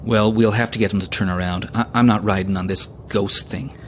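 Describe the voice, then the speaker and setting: An actor playing an earnest, proper young newlywed husband in an audio drama, mild and natural in manner. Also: male voice, on the low side, steady tone, a little fast, natural voice. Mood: bewildered. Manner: mild, prim, gently teasing. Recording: faint vehicle noise.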